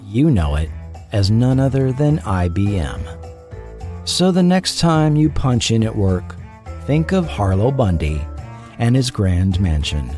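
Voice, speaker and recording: A man hosting and narrating a scripted documentary podcast, with a measured delivery and dramatic pauses. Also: fairly low pitch, very animated, dark tone, soft background music.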